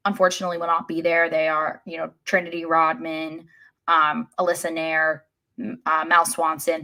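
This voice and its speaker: A woman's voice: fairly low pitch, a bit bright. A woman co-hosting a casual sports podcast, talking like a wry, resigned fan. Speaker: podcast co-host.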